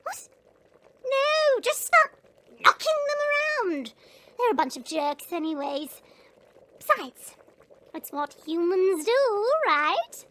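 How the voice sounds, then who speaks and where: A woman playing a sly, theatrical goblin witch in a fantasy audio roleplay, with coy, drawn-out delivery. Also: extremely high pitch, big pitch swings, a bit bright, slight background noise, heavily acted, poor audio. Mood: pushy, playful. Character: sly, theatrical female goblin witch, weepy when slighted.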